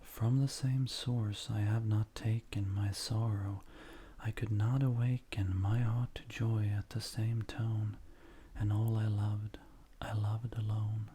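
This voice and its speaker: A man reads in a breathy, near-whispered ASMR voice. His tone is mellow and soft, and he moves slowly and gently through each phrase. A faint noise sits behind him. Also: fairly low pitch, level tone. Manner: gentle, unhurried, measured. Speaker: ASMR creator reading poetry. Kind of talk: soft-spoken ASMR poetry reading.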